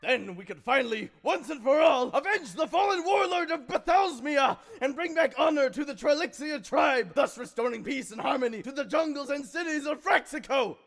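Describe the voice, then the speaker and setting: A man's voice: very high voice, animated, moderate pace, gravelly, few pauses, a bit bright, full character voice. A man playing a melodramatic villain scientist from a strange jungle world in a comedy audio drama, theatrical and earnest.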